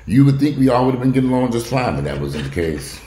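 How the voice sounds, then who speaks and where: A man's voice: mid-range voice, big pitch swings, quick pace, mellow. A middle-aged man giving a blunt, casual, opinionated monologue that wanders off on asides and includes some swearing. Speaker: vlogger commenting on news. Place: bright sunroom at home, indoors. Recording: roomy, echoey room with faint noise.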